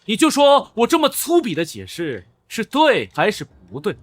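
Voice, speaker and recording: Man acting a brash, high-voiced young official, wildly animated. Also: moderate pace, no echo. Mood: defiant, taunting. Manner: taunting, declamatory. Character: brash young male official of beggar origin, defiant and commanding. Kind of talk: Chinese historical comic drama dubbing.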